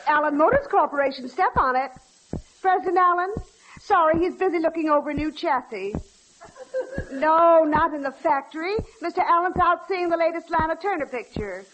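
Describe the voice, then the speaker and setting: A woman's voice: extremely high pitch, moderate pace. A woman playing a brassy, wisecracking secretary with pert comebacks in a 1940s radio comedy. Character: wisecracking, brassy young female office secretary. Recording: noisy, crackly 1940s radio broadcast.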